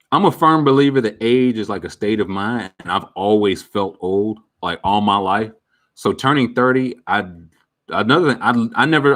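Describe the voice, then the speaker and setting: A man's voice: fairly low pitch, a bit bright. A man around thirty chatting casually with viewers as a streamer, candid and reflective, full of slang and fillers. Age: about 30. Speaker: streamer answering viewer questions. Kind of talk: casual chat.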